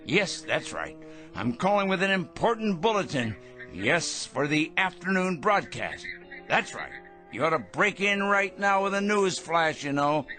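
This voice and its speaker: Pompous, paranoid megalomaniac, highly animated. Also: male voice, a little slow, soft background music, full character voice. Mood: urgent, self-important. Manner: pompous, theatrical, ranting. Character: pompous, paranoid megalomaniac man, feverishly excitable, talking to his parrot. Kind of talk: radio drama acting.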